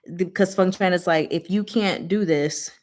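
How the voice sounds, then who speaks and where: A woman's voice: on the low side, normal speed, darkish tone. A woman hosting a casual book discussion, explaining things informally with playful, rambling asides.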